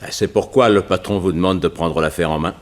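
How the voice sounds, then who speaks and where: A gruff police inspector in a vintage radio crime play, acted by a man, with a fairly low voice. He talks in a colloquial, down-to-earth way, full of short interjections. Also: brisk, close to an everyday voice. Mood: eager. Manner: colloquial, interjecting, deferential to the detective. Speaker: actor in a radio crime drama. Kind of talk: 1960s French radio drama acting.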